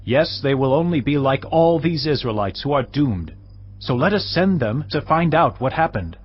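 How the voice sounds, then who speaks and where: An actor playing a grim royal officer in a dramatised audio Bible, speaking earnestly and emphatically. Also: male voice, mid-range voice, animated, moderate pace, husky. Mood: grim urging. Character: cautious, grim royal officer advising his king. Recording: dull, muffled audio over music.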